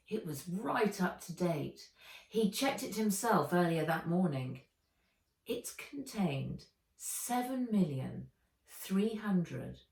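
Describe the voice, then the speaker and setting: A woman author reading a children's adventure story aloud in expressive, deliberate narration. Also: on the low side. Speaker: author reading their own book. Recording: roomy echo, distant mic.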